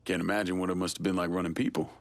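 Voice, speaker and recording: Gravelly, low Southern veteran. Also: male voice, little pitch movement, very fast. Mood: frank, somber. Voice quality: gravelly, husky. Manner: blunt, laconic. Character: hardened, world-weary male war veteran turned criminal. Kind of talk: dramatic video game dialogue.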